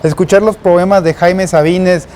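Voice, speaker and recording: A man hosting a street interview, greeting viewers and drawing out his guest with friendly questions and steady backchannel. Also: high-pitched, unhurried, slight background noise. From Mexico. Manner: friendly, encouraging, frequent backchannel. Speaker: host. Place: outdoors on a city street.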